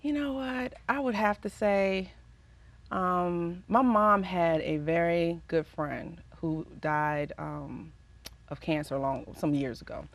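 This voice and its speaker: A woman recording artist with a raspy voice, answering interview questions casually and reflectively, often pausing with ums. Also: on the low side, no room sound. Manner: reflective, pauses with frequent ums.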